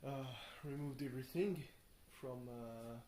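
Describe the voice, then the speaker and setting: A tired young man rambles casually through a video diary, halting and thinking aloud, often questioning himself. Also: medium pitch, mellow. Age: young adult. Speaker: video blogger. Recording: roomy echo, faint background noise.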